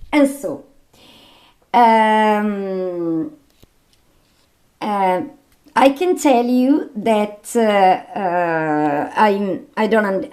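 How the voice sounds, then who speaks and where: Woman, non-native English, video host, very slow, hesitant, word repetitions, unscripted commentary. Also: medium pitch, a little mellow. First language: not English. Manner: halting, hesitant, repeats words.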